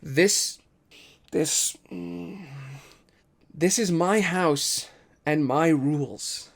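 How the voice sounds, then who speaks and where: Man acting a gruff, boastful, raised-voice father. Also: on the high side, very slow, voice pushed up, heavily acted. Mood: stern. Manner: boastful, curt, commanding. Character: gruff, stubborn gambling father, proud of one son.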